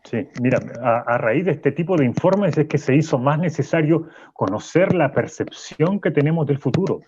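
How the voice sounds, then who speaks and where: A man's voice: fairly high pitch, lively intonation, normal speed, a little mellow, highs cut off, a little hiss or hum, lightly acted. A man playing a professor guest who stumbles and corrects himself. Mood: explaining. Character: male professor, opinionated guest. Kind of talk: radio drama acting an interview.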